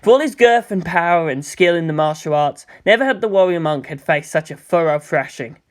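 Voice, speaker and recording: A man's voice: fairly high pitch, expressive, fairly quick. A man reading a scripted story aloud as narrator. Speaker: amateur audiobook reader.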